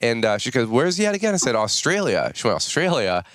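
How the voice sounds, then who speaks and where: An American man in his thirties hosting a podcast in casual, rambling chat, his voice a little raspy from just waking up. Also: mid-range voice, big pitch swings, fast talker, a bit bright, dry recording, studio quality. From United States. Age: 30s. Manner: chatty, rambling, folksy. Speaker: podcast host.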